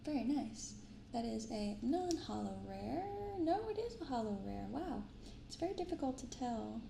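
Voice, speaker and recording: A soft-spoken woman hosting an ASMR unboxing, reading and commenting gently and without hurry. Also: a little high, big pitch swings, normal speed, gravelly, echoey room, background noise. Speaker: card unboxing video host. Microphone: binaural microphone close up.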